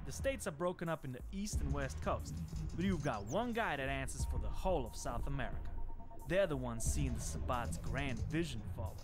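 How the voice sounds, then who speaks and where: A man's voice: medium pitch, lively intonation, a little fast, lightly acted. An actor playing a cocky, streetwise male vampire gangster in a video game, blunt, slangy and sardonic. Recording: music behind the voice.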